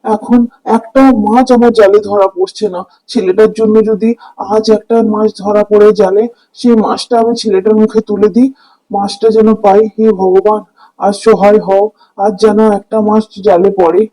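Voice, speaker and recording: A man's voice: sits high, little pitch movement, moderate pace, dark tone, lightly acted. A man voicing a poor, kind-hearted village fisherman and father in a cartoon, with a plaintive manner. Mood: sorrowful. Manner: plaintive, lamenting. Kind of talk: cartoon dubbing. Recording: music under the voice.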